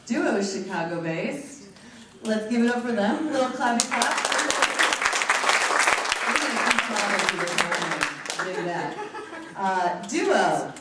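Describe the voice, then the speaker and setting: A woman announcing sponsors at a conference, loud and playful, joking between the scripted parts. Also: a little high, recorded from a distance. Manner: loud, animated, joking asides. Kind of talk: playful live announcements to an audience. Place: large conference room with an audience. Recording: echoey hall recorded from afar, crowd noise.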